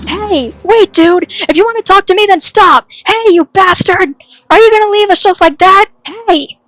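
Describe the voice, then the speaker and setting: A woman's voice: sits high, a little fast, fairly bright tone, no room sound, rough recording. A woman voicing a hot-tempered, indignant young student in a drama. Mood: furious.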